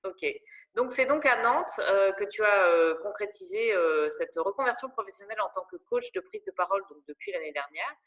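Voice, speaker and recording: Female interviewer, hesitant delivery, lots of fillers, mid-pitched voice. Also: expressive, fairly quick, fairly bright tone, highs cut off. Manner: hesitant, many fillers and restarts. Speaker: interviewer for a women's network. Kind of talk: interview questions.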